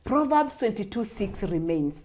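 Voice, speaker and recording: An older woman pastor shares how she mentored children, in an emphatic, preacherly manner. She returns to her favorite sayings again and again, and her voice swings lively between high and low. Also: normal speed. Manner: preachy, emphatic, repeats key phrases. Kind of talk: conversational testimony.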